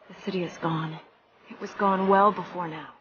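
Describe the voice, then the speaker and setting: An actress playing a young, determined princess who talks in a curt, earnest and sometimes scolding way. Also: female voice, lowish voice, steady tone, normal speed, fairly bright tone, muffled recording, a little hiss or hum, natural voice.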